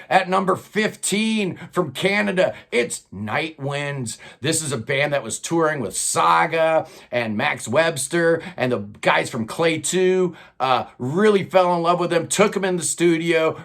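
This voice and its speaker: A man's voice: a little high, vocal fry, a bit bright. An older man hosting a prog rock countdown from a record-filled home studio, talking in a loose, enthusiastic, casual way with plenty of fillers. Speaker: host of a prog rock channel.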